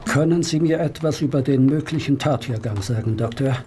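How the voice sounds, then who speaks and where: An actor playing a brusque, commanding Victorian police inspector in a radio play, curt and authoritative. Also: male voice, medium pitch, fairly quick, dark tone, slight background noise, close to an everyday voice. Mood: businesslike, grim. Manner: curt, authoritative, hesitates between questions. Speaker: actor in an audio drama. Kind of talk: German radio play acting.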